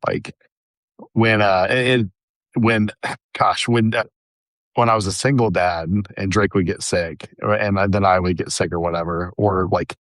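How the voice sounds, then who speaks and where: Laid back dude cohosting, kinda low voice, says like a lot, dry mic. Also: male voice, steady tone, measured pace, fairly bright tone, dry recording. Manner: easygoing, chatty, many fillers like "like" and "dude". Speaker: podcast co-host. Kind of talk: casual chat.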